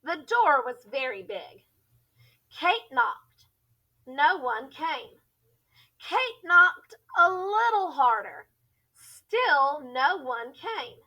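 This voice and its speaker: Female teacher narrator for a children's read-aloud: bright, animated, deliberate storytelling. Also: high-pitched, poor audio.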